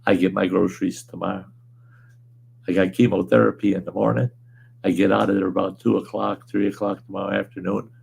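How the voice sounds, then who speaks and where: A man hosting a casual livestream from home, hoarse with a weakened voice, rambling in a laid-back way and chuckling often. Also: low-pitched, flat delivery, fairly quick, a little mellow. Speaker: livestream host. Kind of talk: casual livestream chat reading viewer comments.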